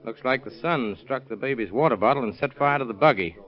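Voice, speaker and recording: An actor playing a shrewd, skeptical investigator in old radio drama, deliberate and matter-of-fact. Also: male voice, mid-range voice, close to an everyday voice. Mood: methodical. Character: shrewd, dry male investigator, skeptical and methodical. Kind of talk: 1930s radio acting. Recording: muffled vintage radio recording.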